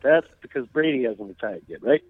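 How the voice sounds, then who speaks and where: A man's voice: mid-range voice, expressive, brisk, breathy edge, no echo. A man with a Boston accent calling in to chat about sports in a joking, profane, mock-serious way over a muffled phone line. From Boston area, United States. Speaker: call-in sports guest. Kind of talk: casual comedic chat. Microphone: phone call. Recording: muffled voice call, radio-like.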